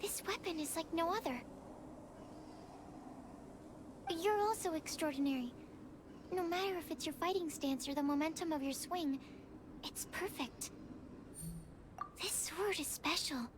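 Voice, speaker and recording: A woman's voice: extremely high pitch, normal speed, background noise. A woman playing a shy, earnest young female alchemist in a video game, speaking politely and hesitantly with careful, precise wording. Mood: fascinated. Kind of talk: English video game voice acting. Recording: music clearly behind the voice. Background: game music.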